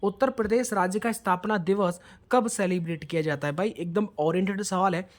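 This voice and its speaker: A man's voice: sits high, brisk. A male coaching teacher takes listeners through a quiz-style lesson. He speaks in an instructional way, putting questions to them and dropping English words into his explanations.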